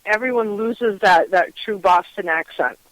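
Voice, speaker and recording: A woman's voice: mid-range voice, measured pace, dry recording, rough recording. Enthusiastic chatty woman host, dry sound. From Western Massachusetts. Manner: enthusiastic, laughs often, rambling. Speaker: podcast host. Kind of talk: casual interview chat.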